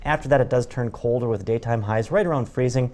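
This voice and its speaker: A man's voice: medium pitch, fast, a little mellow. American man giving a TV weather forecast. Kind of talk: live broadcast weather forecast, conversational.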